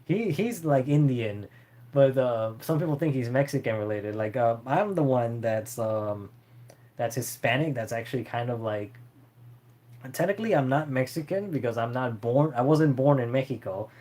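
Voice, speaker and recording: A man's voice: medium pitch, expressive, normal speed, dark tone. Rambling man chatting casually. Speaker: streamer answering viewer questions about anime. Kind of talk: casual unscripted chat.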